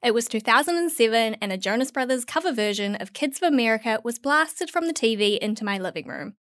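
High-voiced woman, wry narration. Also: animated, quick pace, fairly bright tone, no echo, clean studio sound. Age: about 30. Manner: wry, tongue-in-cheek. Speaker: writer reading her own article. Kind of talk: scripted first-person narration.

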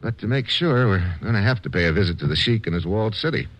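An American man playing a bold, wry, hard-boiled adventurer in an old-time radio drama, with brisk informal delivery. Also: fairly low pitch, animated, natural voice. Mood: determined. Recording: noisy vintage radio recording.

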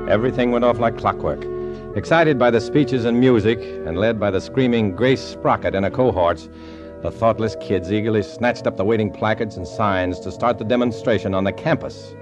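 Guy acting tough undercover agent in an old 50s radio show, deep-ish and dramatic, music behind him. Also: moderate pace, close to an everyday voice. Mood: grim narrating. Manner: hard-boiled, clipped. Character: tough undercover agent narrating his story, posing as communist.

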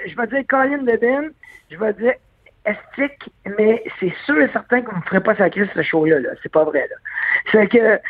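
A man's voice: extremely high pitch, no room sound. A man with a Québécois French accent, chatting as an interview guest, hesitant with many fillers and restarts. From Quebec. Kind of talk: casual interview chat. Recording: dry tinny phone line.

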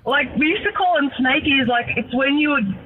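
A woman's voice: high voice, moderate pace. A middle-aged woman, calling a radio show and chatting casually over a phone line. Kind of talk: casual reminiscing chat. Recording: tinny, noisy phone line.

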